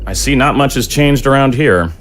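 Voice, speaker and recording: An actor playing a measured, slightly weary middle-aged father in an audio drama. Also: medium pitch, lively intonation, a little hiss or hum, close to an everyday voice. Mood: reserved, reflective.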